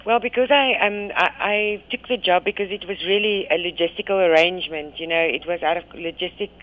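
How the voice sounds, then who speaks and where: A woman's voice: lowish voice, steady tone, fairly quick. A South African woman, a radio guest talking by phone, hesitant with many ums and restarts. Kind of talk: phone interview. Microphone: phone line. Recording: tinny phone line.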